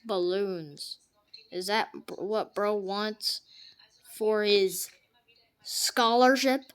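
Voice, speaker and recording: Woman gamer narrating her run, slow easy pace, mid pitch, goofy trash talk, goes from quiet mutters to loud yelps. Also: clean tone. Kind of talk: gameplay commentary.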